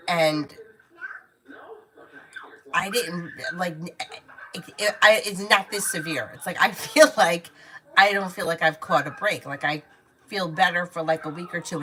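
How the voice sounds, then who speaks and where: A woman's voice: low-pitched, pushed and bright, rough recording. A woman giving tarot readings on a casual livestream, chatting candidly, swearing freely and calling viewers by name. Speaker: tarot reader answering viewer questions.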